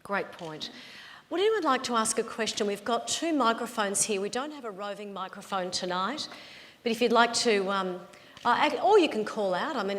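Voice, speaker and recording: Woman moderator, mid-pitched, brisk and friendly, reverberant hall. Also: a bit bright. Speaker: host and moderator. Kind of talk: moderating a panel. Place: university lecture hall.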